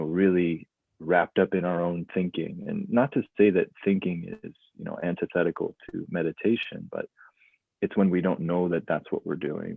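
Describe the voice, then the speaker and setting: A young man in his late twenties muses aloud as a guest, loose and reflective, circling back on his words. His voice sits very low. The line is thin and phone-like, with a dry, close sound. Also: gravelly, dry recording. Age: 20s. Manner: thoughtful, loose, many restarts and fillers. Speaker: guest, mindfulness instructor. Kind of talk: reflective conversational talk.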